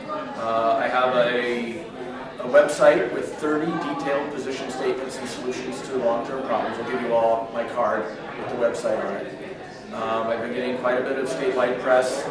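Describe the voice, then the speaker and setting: A man in his fifties from Northern California, hoarse with a cold, giving an earnest campaign speech in a restaurant. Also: medium pitch. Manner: earnest, steady, heavy with figures. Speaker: political candidate. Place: restaurant function room. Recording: echoey room from far off, crowd murmur. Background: crowd chatter.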